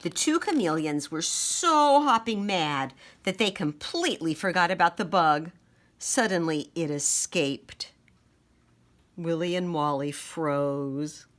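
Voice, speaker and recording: Animated, slow-paced woman reading a children's story. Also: on the low side. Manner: dramatic, deliberate storytelling with sound effects. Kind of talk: expressive children's picture-book read-aloud.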